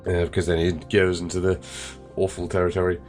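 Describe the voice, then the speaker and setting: A deep-voiced man with a stuffy, nasal sound comments on a game in a loose, rambling way. He leans on fillers and now and then a swear word. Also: level tone, fairly quick, a little mellow, soft background music. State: nasal and congested, recovering from illness. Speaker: let's play host. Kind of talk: casual gameplay commentary and reading game text.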